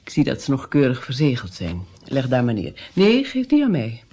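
Imperious noble princess, haughty, commanding, swooping intonation, radio play. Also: female voice, rumbling low, huge pitch swings, normal speed, mellow, husky, full character voice. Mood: haughty, brisk. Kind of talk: radio drama.

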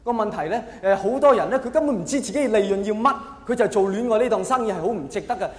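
A man's voice: sits high, fast. A man with a Hong Kong Cantonese accent performing live stand-up, theatrical and full of rhetorical questions for the audience. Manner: theatrical, acts out quoted characters. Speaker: stand-up comedian. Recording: echoey hall, long reverb, faint noise.

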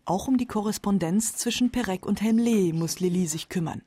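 A woman's voice: medium pitch, normal speed, dark tone, breathy edge, dry recording. A woman narrating a radio feature from a script. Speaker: documentary narrator.

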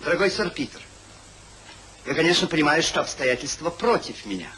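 A hypocritical gentleman schemer in a vintage radio comedy. His fairly high voice rises and falls freely as he hedges with theatrical politeness. The old recording has a faint hiss. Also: very animated, fairly quick, low-quality recording. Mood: anxious, placating. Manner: over-polite, hedging, theatrical. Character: smooth-tongued hypocritical gentleman schemer, evasive. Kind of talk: 1950s radio stage-comedy acting. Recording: hissy 1950s radio play recording.

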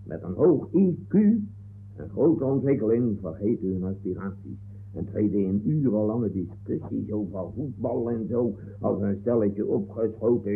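Stern, pompous courtroom judge. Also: male voice, fairly low pitch. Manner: pompous, formal, authoritative. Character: stern, pompous male judge with a football weakness. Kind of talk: 1960s comic radio play acting. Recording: dull 1960s radio recording.

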